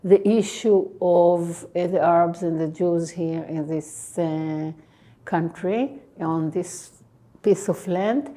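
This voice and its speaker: A woman in her sixties speaks with an Israeli accent, low and mellow in tone, taking her time. She answers interview questions haltingly, pausing often and filling gaps with hesitant sounds. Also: fairly even intonation. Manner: slow, halting, many pauses and fillers. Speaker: guest, tech entrepreneur.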